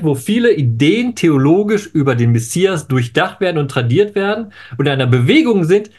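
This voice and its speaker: A man's voice: fairly high pitch, breathy edge. A man co-hosting a live scholarly discussion, eager, jumping in and correcting his partner. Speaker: co-host, Old Testament scholar.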